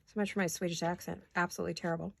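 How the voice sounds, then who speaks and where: A woman's voice: lowish voice, flat delivery, racing, vocal fry, a bit bright, no room sound. A middle-aged woman rambling chattily while she works on paper crafts in her home studio, joking at her own expense. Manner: rambling, self-deprecating, talks while working. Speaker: craft vlogger.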